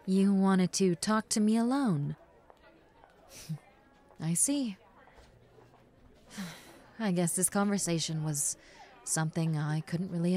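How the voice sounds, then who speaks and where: A woman acting a tender, regretful young substitute teacher in an intimate roleplay monologue, speaking hesitantly with soft sighs on a close mic. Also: on the low side. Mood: composed, guarded. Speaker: roleplay audio voice actress. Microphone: close studio mic, dry.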